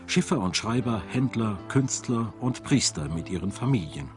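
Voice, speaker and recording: Male documentary narrator with lively pitch. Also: normal speed. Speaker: documentary narrator and voice-over translator. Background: background music.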